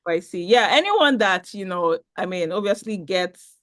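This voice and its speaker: A woman's voice: mid-range voice, expressive, measured pace, a bit bright, dry recording, studio quality. A Nigerian woman presenting an online talk on a video call, chatty and upbeat, reading the chat as she goes. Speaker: webinar presenter.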